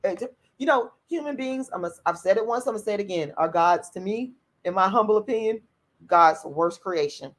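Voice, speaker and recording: Raspy woman, hosting a stream, reads comments out and talks back blunt and cussing, says stuff twice. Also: medium pitch, animated, fairly quick. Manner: blunt and profane, repeats herself; outspoken. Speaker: channel host reading viewer comments. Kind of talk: livestream commentary.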